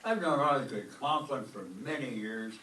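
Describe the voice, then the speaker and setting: An actor playing a stuffy, incredulous school official with a formal manner, in an old-time radio comedy. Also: male voice, medium pitch, normal speed, bright, forceful tone, distant mic, natural voice. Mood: courteous, assured. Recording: roomy stage, off-mic, faint noise.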